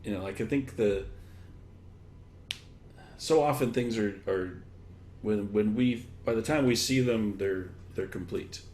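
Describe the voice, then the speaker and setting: A man giving thoughtful, conversational interview answers, thinking aloud in halting, loose chains of examples. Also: on the low side, a little mellow. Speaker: interviewed guest, education technology expert. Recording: echoey room, clear background noise.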